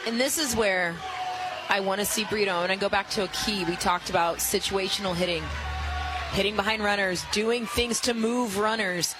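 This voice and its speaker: A woman giving emphatic, coach-like analysis as a color commentator on a live softball broadcast. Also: mid-range voice, moderate pace, background noise. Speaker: color commentator, former pitcher. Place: outdoor ballpark during a live game. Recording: loud outdoor stadium ambience. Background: loud stadium ambience.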